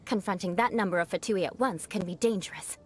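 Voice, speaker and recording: A woman's voice: mid-range voice, fast talker, a little hiss or hum, lightly acted. A woman playing a proud young noblewoman knight in an anime game, haughty, formal and theatrical in her delivery. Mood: haughty, composed.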